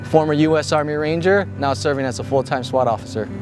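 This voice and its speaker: A man's voice: a little high, fast talker. A man speaking earnestly and reflectively, giving a personal testimonial as a police officer and veteran. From born in Vietnam, grew up in Chicago, United States. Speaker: police SWAT officer and army veteran telling his story. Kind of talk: personal testimonial over a promotional film. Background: music.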